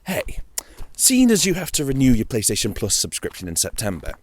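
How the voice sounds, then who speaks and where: A man's voice: mid-range voice, wildly swooping pitch, a little fast. A man hosting a gaming audio log, chatting casually and informally on his own, with playful, wry asides. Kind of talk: casual solo chat about video games.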